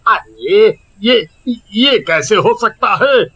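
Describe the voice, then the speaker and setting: A man voicing a scheming, jealous village shopkeeper in a cartoon, with a whining, exaggerated, drawn-out delivery. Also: high voice, animated, pushed and bright, slightly rough, highs cut off, exaggerated voice. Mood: shocked. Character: scheming, jealous village sweet-seller, henpecked husband. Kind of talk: Hindi cartoon voice acting.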